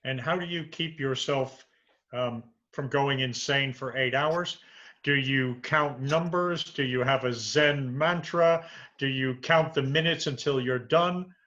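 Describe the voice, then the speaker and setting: Male interview host, crisp bright tone, muffled lo-fi video-call audio, curious and stumbling. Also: mid-range voice, unhurried. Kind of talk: conversational interview questions.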